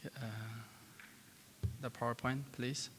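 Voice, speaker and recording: A man from China, presenting research at a conference in a halting way with many fillers. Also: mid-range voice, level tone, a bit bright, a little hiss or hum. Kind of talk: academic presentation. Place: large conference hall. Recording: long conference hall reverb.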